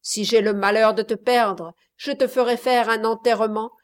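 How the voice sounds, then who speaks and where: A woman voicing a blustering, miserly old father who exclaims loudly and insistently, in a read-aloud story. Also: a little high, fairly even intonation, normal speed, natural voice.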